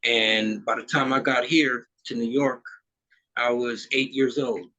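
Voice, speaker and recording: A man's voice: mid-range voice, steady tone, unhurried, bright, forceful tone, low-quality recording. A man in his fifties from Trinidad, a musician guest talking in an easygoing, rambling way with plenty of fillers. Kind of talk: casual interview chat.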